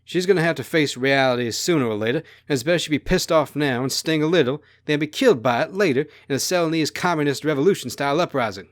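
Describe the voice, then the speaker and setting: A man's voice: mid-range voice, fairly quick, lightly acted. A man voicing a blunt, probing republican who argues against royalty in a story. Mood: blunt, forceful.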